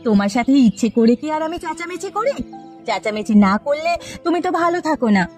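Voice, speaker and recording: A woman's voice: high voice, animated, quick pace, a little mellow, soft background music. A woman voicing a nagging, bossy, money-minded village wife in a cartoon, with a scolding manner. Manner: scolding, complaining. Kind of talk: Bengali cartoon story dialogue.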